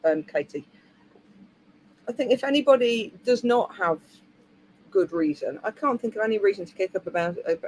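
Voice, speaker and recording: A woman's voice: on the high side, sing-song, normal speed, long pauses, slight background noise, rough recording. A woman hosting a panel over a video call, talking in a forthright, wry way. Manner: forthright, wry, rising emphasis. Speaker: host. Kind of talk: casual panel chat.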